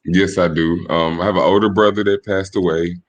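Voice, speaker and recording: Very deep-voiced laid-back young man. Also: brisk. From Michigan. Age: 20s. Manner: relaxed, laid-back, hesitant with restarts. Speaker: podcast guest. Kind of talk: casual interview chat.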